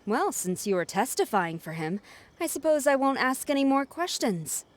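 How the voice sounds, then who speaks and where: A woman playing a stern, formal military commander in a video game, speaking with measured authority. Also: high voice, big pitch swings. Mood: formal, commanding. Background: game music.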